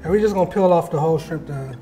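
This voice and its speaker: A man's voice: a little high, racing. An American man in his thirties hosts a cooking show, chatting casually as he explains steps and asks questions. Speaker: cooking show host and chef. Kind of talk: casual cooking demonstration and interview chat. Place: home-style kitchen, indoors. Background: music.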